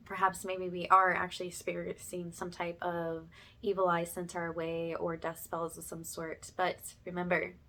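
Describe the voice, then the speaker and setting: A woman's voice: lowish voice, a bit bright. A woman speaking informally to her viewers as a spiritual ASMR healer, reassuring them and often checking in with them directly. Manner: reassuring, addresses listeners directly with check-in tags.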